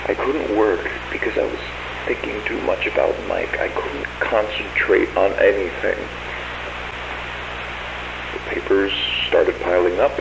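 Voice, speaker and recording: A man's voice: deep voice, gravelly, full character voice. An actor playing a bitter, unhinged father in a brooding confession, on a hissy, roomy amateur recording. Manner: brooding monologue.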